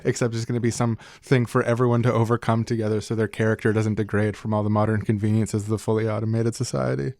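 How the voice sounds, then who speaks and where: A man's voice: fairly low pitch, little pitch movement, fast, creaky, few pauses, a little mellow. A man co-hosting a podcast, discussing ideas casually and analytically in an informal, wry way. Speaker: podcast co-host.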